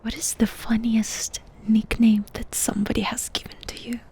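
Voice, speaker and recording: A woman doing a soft-spoken ASMR roleplay, asking questions in an intimate, unhurried whisper. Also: a little high, little pitch movement.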